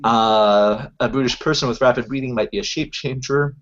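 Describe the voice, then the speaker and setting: A man's voice: medium pitch, normal speed, fairly bright tone. Rambling man on a muffled game call. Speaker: tabletop roleplay player. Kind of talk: casual game table chat. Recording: dull lo-fi online voice call.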